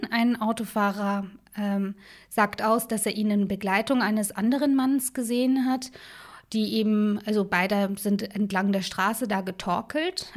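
A woman co-hosting a true crime podcast, telling the case in a relaxed, conversational way with fillers and asides. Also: mid-range voice. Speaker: podcast co-host.

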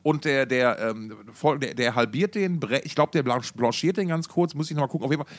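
Guy on a podcast, chatty and rambly, trips over his words, really animated pitch, dry close sound. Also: a little fast, no echo. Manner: rambling, stammering with repeated words. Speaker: podcast co-host. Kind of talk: casual chat between two podcast hosts.

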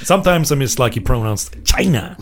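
A man's voice: medium pitch, expressive, brisk, a crowd in the background. A man with a Bavarian accent in casual podcast chat, blunt and rambling, swearing freely and restarting his sentences. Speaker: podcast co-host.

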